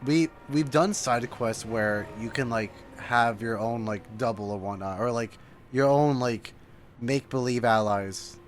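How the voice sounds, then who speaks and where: A man streaming his live reaction to a video game, talking informally and haltingly, often repeating himself. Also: mid-range voice, a little hiss or hum. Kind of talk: casual live reaction commentary.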